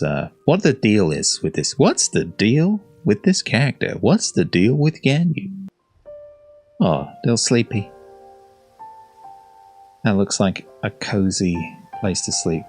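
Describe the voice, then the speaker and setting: Low-voiced man musing aloud. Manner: thinking aloud, hesitant with many fillers. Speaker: reaction video host. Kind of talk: casual musing commentary.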